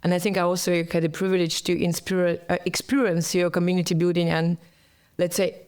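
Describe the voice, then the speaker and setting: A woman's voice: on the low side, level tone, a little fast, darkish tone, clean tone. A woman hosting an interview, asking questions hesitantly in non-native phrasing. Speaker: host. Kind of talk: interview host.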